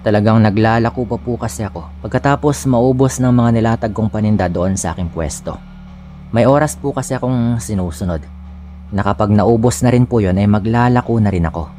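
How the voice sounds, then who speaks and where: A man's voice: lowish voice, a little fast, warm tone, slight background noise. A man with a Filipino accent giving a scripted first-person narration of a scary story. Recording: music bed under the voice. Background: background music.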